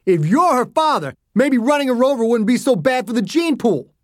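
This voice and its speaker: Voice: mid-range voice, sing-song, fairly quick, no echo, studio quality, voice pushed up, close to an everyday voice. A narrator voicing a belligerent, foul-mouthed man past middle age who throws insults in an audiobook story. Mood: nasty taunting.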